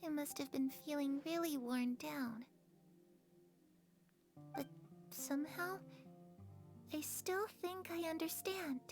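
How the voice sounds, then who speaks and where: A woman voicing a gentle, trusting, wistful young girl in a video game, speaking with sincere feeling. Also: high voice, slow pace, long pauses. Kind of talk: scripted video game voice acting. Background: background game music.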